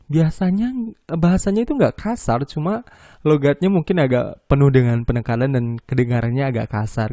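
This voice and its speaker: Young guy from medan doing a solo podcast, mellow voice, dry close mic, kinda rambly. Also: mid-range voice, no room sound. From Medan, North Sumatra. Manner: rambling, hesitant, with frequent restarts and apologies. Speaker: podcast host. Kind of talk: casual solo podcast monologue.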